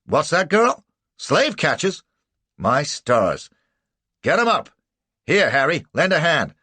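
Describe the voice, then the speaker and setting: A bustling small-town man barks orders in a raised, rustic drawl, as a male narrator puts him on. The voice is pitched up and loud, with a husky grain. It sounds close and dry, with no room around it. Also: big pitch swings, slow, dry recording, full character voice. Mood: urgent, bustling. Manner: rustic drawl, urgent commands. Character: excited folksy small-town man, helpful, middle-aged.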